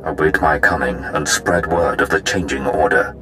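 An extremely low-voiced dark lord from a sci-fi video game. He is imperious and menacing, speaking in deliberate, commanding pronouncements over clearly audible music. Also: fairly even intonation. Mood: triumphant. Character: menacing, imperious male Sith lord seeking to rule. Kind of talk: dramatic video game voice acting.

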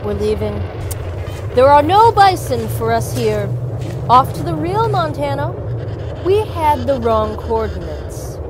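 Radio play: spoiled, indignant rich spouse played by a woman, high-voiced and animated, blustering, over background rumble. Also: takes it slowly, full character voice. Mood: flustered, defiant. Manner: blustering, stammering when flustered. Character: entitled, impractical rich spouse, petulant and indignant. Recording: clear background vehicle ambience noise.

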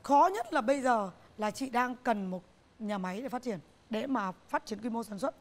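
Earnest middle-aged woman, studio interview. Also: a little high. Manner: earnest, repeats phrases, halting restarts. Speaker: business owner, guest. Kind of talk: TV talk show interview. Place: TV studio set, indoors.

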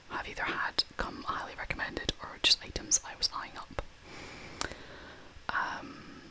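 A young adult woman speaks entirely in a whisper. She chats informally and takes her time, in the manner of an ASMR vlogger showing things she has bought. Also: poor audio. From half Scottish, half American. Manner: unhurried, informal, chatty. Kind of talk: whispered ASMR shopping haul.

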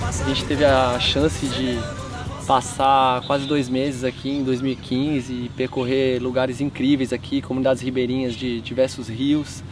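A young man, cofounder of the project, talking relaxed and halting in a documentary interview outdoors by a sports field. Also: mid-range voice, over music. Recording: distant mic with outdoor noise.

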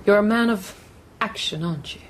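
A woman's voice: medium pitch, fairly quick, a little hiss or hum, lightly acted. An actress playing a defiant, sardonic woman scientist in a radio drama, challenging and resentful in a naturalistic way. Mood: bitter, accusing.

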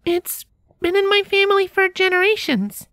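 A woman's voice: very high-pitched, lively intonation, moderate pace, a bit bright, no echo, studio quality, voice pushed up, full character voice. A woman voicing a timid little filly pony in a storybook reading, shy and hesitant. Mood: timid, unsure. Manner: shy, hesitant, small-voiced.

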